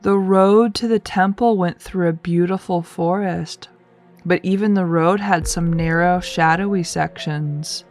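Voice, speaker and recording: Slow, low-voiced woman storyteller. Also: steady tone, darkish tone, soft background music. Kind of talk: read-aloud children's folktale narration.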